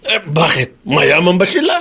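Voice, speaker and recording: A man's voice: a little high, very animated, moderate pace, gravelly, pushed and bright, breathy edge, exaggerated voice. A Filipino man acting in a radio drama as a caring, probing middle-aged father who coaxes and teases his grown son. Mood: coaxing, advising.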